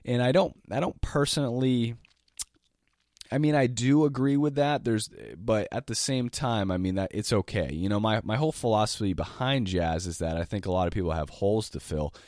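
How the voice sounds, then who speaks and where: A sick man hosts his music-teaching podcast in a very deep, lowered voice. He rambles eagerly, restarts sentences and coughs now and then. The sound is dry and close, with no room around it. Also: moderate pace, a little mellow, dry recording. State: sick, voice lower than usual. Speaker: podcast host and jazz piano teacher. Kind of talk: casual solo podcast monologue.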